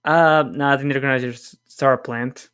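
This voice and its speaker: A man chatting casually as a podcast panelist, in a halting, hesitant way. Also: mid-range voice, steady tone, fairly bright tone, clear, resonant tone. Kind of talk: casual chat.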